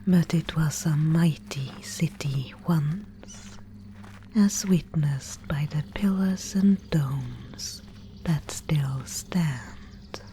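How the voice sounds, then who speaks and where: A woman voicing a mysterious, solemn Spindlemaid guide in a whispered ASMR dark-fantasy roleplay, with breathy, incantatory, archaic speech. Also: low voice, slight background noise, exaggerated voice. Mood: calm, ominous. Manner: slow, incantatory, archaic phrasing.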